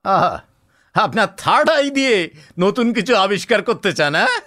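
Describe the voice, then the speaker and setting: An actor playing a talkative, smug police officer in a Bengali audio story, speaking colloquially with scoffing rhetorical tags. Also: male voice, high-pitched, sing-song, moderate pace, pushed and bright, heavily acted. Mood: mocking. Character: Anishchay, talkative cocksure male police officer, smug and sardonic.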